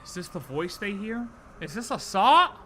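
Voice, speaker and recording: An excitable streamer giving casual live reactions to a game, slangy and full of exclamations. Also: mid-range voice, normal speed, a little hiss or hum.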